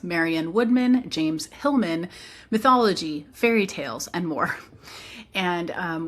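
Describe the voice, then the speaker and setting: A woman's voice: on the low side, a bit bright. A woman teacher presenting her program informally, explaining earnestly with conversational asides.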